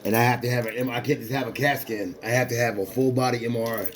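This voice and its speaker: A man's voice: mid-range voice, fairly even intonation, quick pace. Streetwise boxer man in his forties. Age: 40s. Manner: loose, repetitive, streetwise slang with frequent restarts. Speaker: amateur boxer. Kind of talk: casual rambling talk to a companion.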